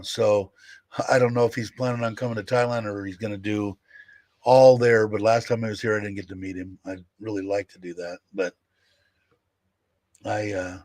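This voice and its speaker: Man, 60s, low voice, dry close mic, rambling candid livestream chat. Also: darkish tone, no room sound. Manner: rambling, candid, with fillers and laughs. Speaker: livestream host. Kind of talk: casual livestream chat, reading viewer comments.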